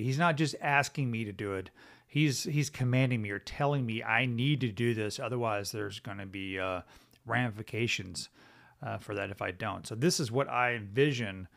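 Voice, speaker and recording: A man's voice: medium pitch, gravelly, fairly bright tone. A middle-aged man hosting a Bible teaching podcast, talking conversationally and thinking aloud with many fillers and "right?" tag questions.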